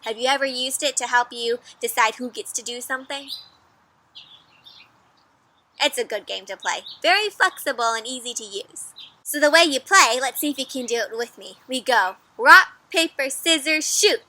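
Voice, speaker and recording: Bright, high-voiced woman reading to kids. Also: normal speed. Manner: animated, asks listeners questions, encouraging. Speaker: children's storybook reader. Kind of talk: interactive read-aloud for kids.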